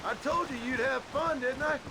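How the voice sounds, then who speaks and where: An actor playing a middle-aged American rancher father in a video game, gruff and patient, talking in a folksy drawl with dry humor. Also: extremely high pitch, moderate pace. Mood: wry, warm. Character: gruff, patient rancher father teaching his son to hunt. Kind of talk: video game dramatic acting. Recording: game dialogue over outdoor animal noise.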